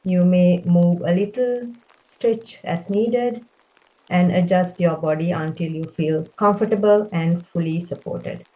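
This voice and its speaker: Woman, meditation guide, gentle and calming, slightly low pitch, very slow delivery. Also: poor audio. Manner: gentle, calming, unhurried phrasing.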